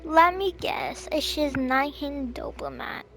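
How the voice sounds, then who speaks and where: A woman's voice: sits high, slow pace, gravelly, pushed and bright, soft background music. A woman commenting casually as a gamer over gameplay. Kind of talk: casual chat.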